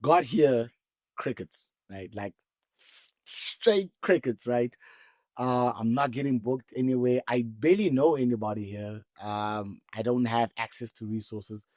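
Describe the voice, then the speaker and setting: A man's voice: medium pitch, unhurried, darkish tone, no echo. A man from Cape Town, South Africa, telling his story in a laid-back way as a podcast guest, often checking in with "right?". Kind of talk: reflective interview storytelling.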